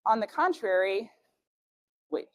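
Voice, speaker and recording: A woman's voice: mid-range voice, animated, slow pace. A young woman whose first language is German, giving casual, frank advice at a scholarship workshop with plenty of fillers. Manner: chatty, frank, many fillers.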